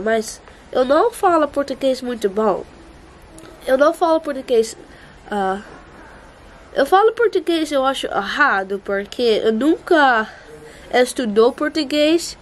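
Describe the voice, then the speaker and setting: A woman's voice: high-pitched, a little hiss or hum. A young Dutch-raised woman talks through a casual travel vlog in Portuguese, which is not her first language. She often stops to search for words and sometimes slips into English. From Netherlands. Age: young adult. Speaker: vlogger. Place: outdoors and indoors, apartment and streets.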